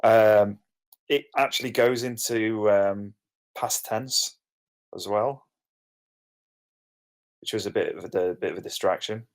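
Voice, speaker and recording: A man's voice: on the low side, slow, pauses often. A man giving informal feedback in a hesitant, rambling way, hedging his opinions. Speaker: participant giving script feedback.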